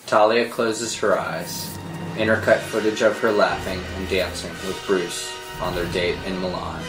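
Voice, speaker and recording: Male narrator for script read: dramatic delivery, deep register, roomy sound, score behind. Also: fairly even intonation, measured pace. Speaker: narrator reading stage directions. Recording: roomy sound with music underneath.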